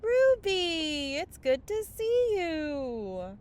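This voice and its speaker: A woman performs a young fairy in an audio comedy, sardonic and exasperated. Her high voice moves around dramatically, with clear background noise behind it. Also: sing-song, drawn-out words, exaggerated voice. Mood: wry.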